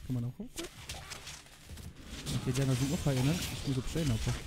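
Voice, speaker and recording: A man giving casual, excitable live gameplay commentary, often repeating phrases and swearing. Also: mid-range voice, fast talker. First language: Luxembourgish. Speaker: gamer recording a let's play. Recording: clear game noise behind. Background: video game sounds.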